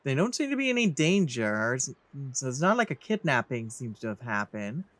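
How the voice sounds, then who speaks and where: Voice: mid-range voice, sing-song, moderate pace, a bit bright, dry recording. A let's play host giving casual game commentary, muttering reactions aloud along the way. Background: game music.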